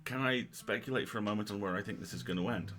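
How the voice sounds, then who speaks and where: A man's voice: fairly low pitch, fast talker, fairly bright tone, faint music behind. A man co-hosting a livestream, moving between casual chat and reading game narration aloud.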